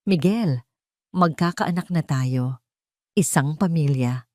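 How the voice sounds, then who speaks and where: A woman's voice: sits low, slow, mellow, no echo, studio quality. Woman narrator as an ambitious, calculating mistress. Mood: intent, vulnerable. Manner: eager, probing, mixing Tagalog and English.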